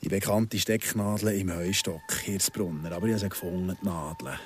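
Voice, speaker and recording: Guy acting bitter swiss german ex-con in a radio play, patient and menacing, tells it slow and sardonic. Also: deep voice, a little hiss or hum, lightly acted. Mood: coldly explaining. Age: 30s. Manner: deliberate, sardonic storytelling. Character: vengeful wronged ex-convict in his thirties, patient, menacing. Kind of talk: Swiss radio drama dialogue.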